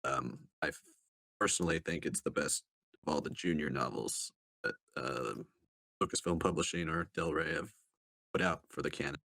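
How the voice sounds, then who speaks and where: A man with a very low voice talks through a casual book review. His delivery is halting and hesitant, with sentences that trail off. The sound is dry and close. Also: vocal fry, bright, forceful tone, no room sound. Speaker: podcast panelist reviewing books.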